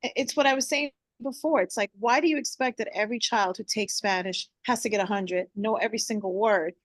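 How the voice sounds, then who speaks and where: A woman's voice: medium pitch, brisk, no room sound. A woman who is a teacher, speaking with conviction in a group discussion and dropping in Spanish phrases. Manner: impassioned, mixes in Spanish phrases. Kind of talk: discussion contribution.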